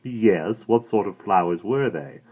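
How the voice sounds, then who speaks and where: A man voicing a sleek, condescending male florist who talks smoothly and ingratiatingly and turns shrill when excited. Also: a little slow. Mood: prim. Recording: dull lo-fi recording.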